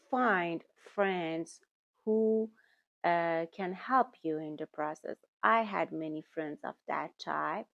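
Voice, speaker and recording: A woman speaks to her viewers in a slow, deliberate way, often repeating words and correcting herself. Her voice sits fairly low and has a gravelly texture. The recording is close and dry. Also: dry recording. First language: German. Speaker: language-learning YouTuber. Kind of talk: talking to camera, giving tips.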